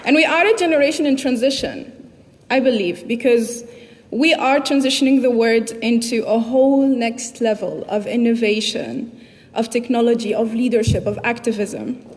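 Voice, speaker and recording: A woman's voice: fairly high pitch, moderate pace, a little mellow. A young woman from Tunisia with a Tunisian accent gives a motivational speech, addressing her audience directly. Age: young adult. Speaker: keynote speaker at a youth summit. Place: large indoor hall at a summit. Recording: echoey hall, long reverb, background noise.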